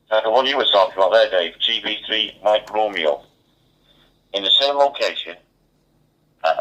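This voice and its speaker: A man's voice: on the low side, pushed and bright. A man chatting casually as an amateur radio operator, heard over a two-way digital radio. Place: mobile radio station. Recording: dull, band-limited two-way radio transmission.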